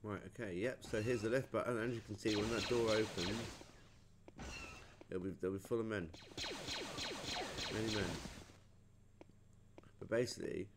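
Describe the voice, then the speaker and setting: A man's voice: lowish voice, fairly even intonation, moderate pace, pauses often. A man giving casual, chatty commentary as he plays through a video game. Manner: chatty, informal. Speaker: gamer, playthrough host. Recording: noisy home game commentary recording.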